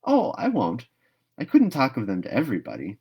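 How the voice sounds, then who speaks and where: A man's voice: medium pitch, wildly swooping pitch, brisk, dark tone, husky, heavily acted. A man voicing a dreamy, chattering young orphan girl in a storybook read-aloud, gushing and wistful. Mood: excited, pleading.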